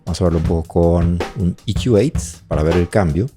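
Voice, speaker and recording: Low-voiced man teaching audio mixing. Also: fairly quick, over music. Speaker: music production tutorial presenter. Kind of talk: software tutorial, explaining while demonstrating. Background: drum loops playing.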